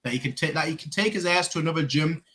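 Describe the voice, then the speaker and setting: A young man co-hosting a casual football fan chat, ranting and mocking players in quick bursts. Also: on the high side, bright, forceful tone, a little hiss or hum. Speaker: fan channel co-host.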